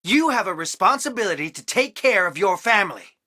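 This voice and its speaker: A man's voice: high voice, big pitch swings, a little fast, pushed and bright, no room sound, clean studio sound, heavily acted. An actor playing a conflicted, wounded young man in a video game, speaking in an earnest, emotionally strained way. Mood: bitter, angry. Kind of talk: dramatic video game dialogue.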